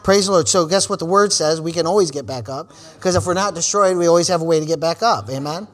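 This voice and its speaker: A man in his forties preaching a sermon, calling out "amen" and "praise the Lord" between lines and building to shouted, repeated refrains. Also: on the high side, quick pace. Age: 40s. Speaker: pastor.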